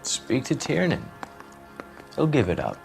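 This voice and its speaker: A man's voice: medium pitch, wildly swooping pitch, measured pace, creaky, a little mellow, faint music behind, exaggerated voice. An American man voicing a smug, evasive, cynical writer under police questioning in a crime game, with a sardonic, articulate manner. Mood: calculating. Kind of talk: video game voice acting, 1940s crime drama.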